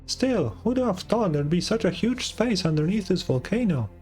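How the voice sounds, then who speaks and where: A man voicing a small, chatty, excitable fairy companion, reading out video game dialogue in a chirpy, questioning way. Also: high-pitched, normal speed, faint music behind. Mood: worried, fussing.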